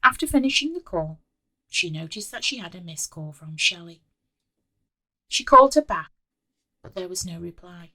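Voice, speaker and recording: A woman's voice: lowish voice, normal speed, long pauses, no echo, poor audio. Woman narrating a story, dry close sound. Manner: expressive, acts out the dialogue. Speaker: author reading own story.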